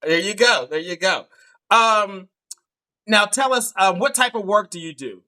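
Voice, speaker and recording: Male dating show host, bright and edgy tone, teasing and jokey, video call. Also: high voice, unhurried. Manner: playful, teasing, laughs often. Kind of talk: live online dating show hosting.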